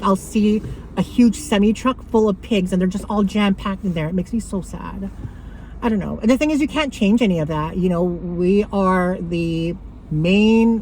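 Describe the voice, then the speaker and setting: A woman talking casually to camera about her thrift finds, rambling with lots of fillers and a loud voice. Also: medium pitch, a little hiss or hum, low-quality recording. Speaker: thrift haul vlogger.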